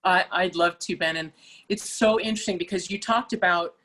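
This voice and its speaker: A woman's voice: fairly low pitch, brisk, a bit bright, low-quality recording. Woman opera singer in casual interview chat, thoughtful, restarts often, laughs easily. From Malaysia.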